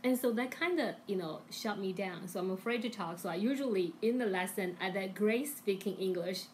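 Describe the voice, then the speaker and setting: A middle-aged woman with a Chinese accent, co-hosting a casual lesson stream in halting, encouraging English as a second language. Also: medium pitch, a little hiss or hum. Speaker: co-host and language teacher. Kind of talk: casual live chat.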